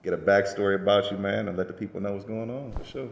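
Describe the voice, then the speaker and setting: A man's voice: lowish voice, steady tone, fast, gravelly. A man hosting a casual interview, slangy and affirming, often repeating his phrases. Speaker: podcast host. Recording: echoey, reverberant room.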